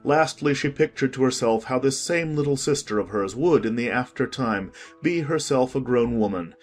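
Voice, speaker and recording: A male narrator reads a storybook in a calm, measured voice. His tone is slightly husky and breathy. Soft music plays underneath. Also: medium pitch. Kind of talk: audiobook narration.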